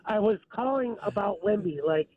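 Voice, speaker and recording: A man's voice: high voice, lively intonation, moderate pace, slight background noise. A man calling into a sports radio show, rambling and hesitant with lots of fillers. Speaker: caller. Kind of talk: phone-in chat. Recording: tinny phone line.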